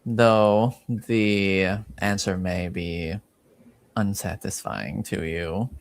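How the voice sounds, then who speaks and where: A man playing a slow, guarded, formal fantasy informant. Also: low-pitched, fairly even intonation, vocal fry, no room sound, heavily acted. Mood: measured, grave. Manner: measured, halting, formal diction. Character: formal, guarded male informant reporting intrigue in a fantasy world. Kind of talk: tabletop roleplay, improvised in character.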